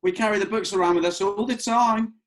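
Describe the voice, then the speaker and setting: A man's voice: high-pitched, fairly even intonation, fairly quick, close to an everyday voice. A man acting an affable, cheeky, slightly hapless young man in a comedy script read, with hesitant, wry colloquial delivery. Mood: amused.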